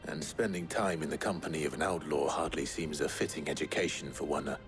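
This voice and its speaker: A man playing a weary, brooding outlaw lord in a video game drama, speaking gravely and with restraint. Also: a bass voice, steady tone, music in the background. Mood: grave, reserved. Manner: grave, measured, understated.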